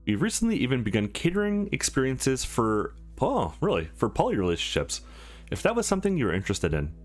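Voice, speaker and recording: A man's voice: mid-range voice, huge pitch swings, brisk. A man voicing an upbeat female company receptionist in a game, with polished, formal sales patter. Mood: cheerful, courteous. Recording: music behind the voice.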